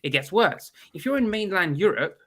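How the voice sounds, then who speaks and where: Bright-voiced man with a stuffy cold. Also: high voice, normal speed. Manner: animated and opinionated, blunt. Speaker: guest. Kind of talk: casual chat.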